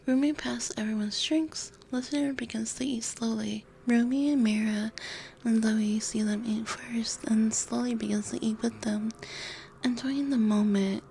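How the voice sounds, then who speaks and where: A woman reads a scripted ASMR story in a gentle, breathy voice that often drops to a whisper. Her tone is mellow and fairly high, close on a binaural mic, with faint noise behind. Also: fairly even intonation, unhurried, low-quality recording. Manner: gentle, soft-spoken. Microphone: close binaural microphone.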